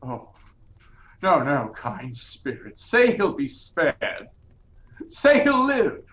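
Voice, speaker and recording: Echoey, agitated old miser. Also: male voice, a little high, very animated, fairly bright tone, muffled recording, heavily acted. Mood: pleading. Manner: halting, agitated, pleading. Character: anxious old miser, shaken and pleading. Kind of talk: audio play acting, old radio drama style.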